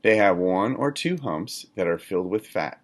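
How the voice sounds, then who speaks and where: A man reading a children's nonfiction book aloud in a deliberate, instructional manner, like a teacher. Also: low voice, lively intonation. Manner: deliberate, instructional, poses questions to listeners. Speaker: read-aloud reader, teacher.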